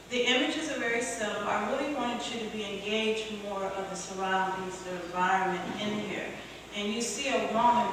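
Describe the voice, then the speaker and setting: A woman photographer giving an informal artist talk, conversational, checking in with her listeners and chuckling as she goes. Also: medium pitch. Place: museum gallery event. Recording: echoey room, far from mic, background noise.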